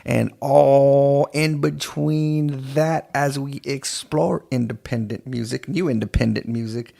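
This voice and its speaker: A man from East Los Angeles hosting a radio show in a laid-back, halting way. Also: medium pitch, steady tone, creaky, warm tone. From East Los Angeles, United States. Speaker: radio DJ and host.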